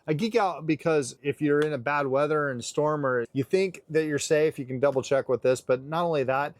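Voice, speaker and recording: A man's voice: on the high side, moderate pace. A man giving a casual, chatty product demonstration with geeky enthusiasm. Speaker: product reviewer.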